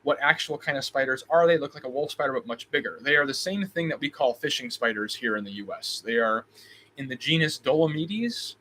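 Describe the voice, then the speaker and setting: Guy w a faint midwestern accent, bright voice, lots of ums and restarts, winging a live q&a. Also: medium pitch, brisk, a little hiss or hum. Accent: slight Midwestern US. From Illinois, US. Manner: reads chat questions aloud, answers off the cuff with frequent ums and restarts. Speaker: host. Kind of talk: live stream Q&A.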